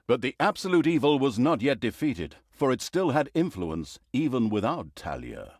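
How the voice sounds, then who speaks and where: An actor playing a sarcastic, pompous storyteller, narrating a fantasy video game with dry, mock-dramatic humor. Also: male voice, mid-range voice, dry recording, exaggerated voice. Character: sarcastic, pompous male storyteller of evil deeds. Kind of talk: theatrical scripted fantasy narration.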